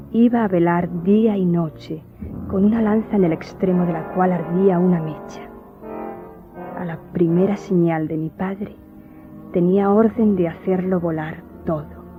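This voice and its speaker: An actress playing a sorrowful young woman recalling childhood horror, telling it gravely and wistfully in a radio drama. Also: fairly low pitch, close to an everyday voice. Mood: haunted. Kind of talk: Spanish radio drama acting. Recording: dull lo-fi audio, faint music.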